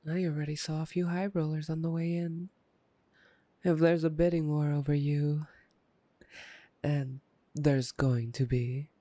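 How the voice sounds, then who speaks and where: A woman's voice: low-pitched, dark tone, no echo, heavily acted. A woman playing a taunting, playful, predatory vampire in an ASMR roleplay, with a teasing, mocking drawl. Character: taunting, playful, predatory vampire gloating over a captive hunter. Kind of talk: ASMR roleplay audio drama.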